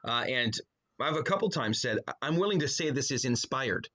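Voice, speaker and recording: A middle-aged man talks as a guest in a casual interview. His voice sits at an ordinary male pitch, and his delivery is colloquial and joking, as if talking to a buddy. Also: lively intonation, fairly quick, sharp tone. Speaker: podcast guest, author and pastor.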